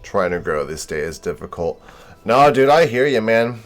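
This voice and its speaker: A young male streamer with a low voice chats to his viewers in a modest, halting way, often restarting his sentences. Game music plays faintly behind him. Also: sing-song. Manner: modest and hesitant, many fillers. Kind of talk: casual chat with viewers.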